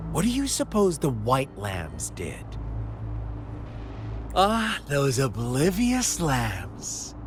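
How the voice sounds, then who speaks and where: A man playing a sly, unhinged game villain who tells a dark tale in a theatrical, taunting, drawn-out way. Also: a little high. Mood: mocking, ominous. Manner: theatrical, taunting, drawn-out delivery with sudden swoops. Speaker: villain character in a video game. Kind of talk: dramatic storytelling monologue in a game.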